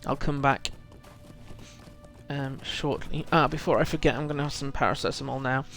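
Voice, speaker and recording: A man with a gravelly, creaky edge to his mid-pitched voice hosts a casual game stream while nursing a cold. He rambles along and thinks aloud, addressing his chat. Music plays faintly behind him. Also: fairly even intonation, normal speed, a bit bright. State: feels full of cold, often clearing throat. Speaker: game livestream host.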